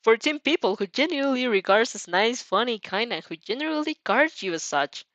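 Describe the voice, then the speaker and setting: A woman's voice: on the high side, expressive, brisk, fairly bright tone, no echo. A woman reading fan fiction aloud as informal storytelling, giggly and prone to breaking off with comments. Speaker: fan fiction reader and dubber.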